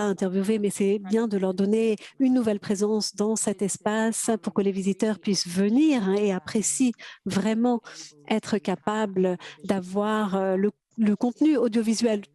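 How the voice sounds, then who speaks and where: A woman interpreting a conference talk simultaneously over a video call. She speaks haltingly, with many restarts and fillers. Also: mid-range voice, warm tone.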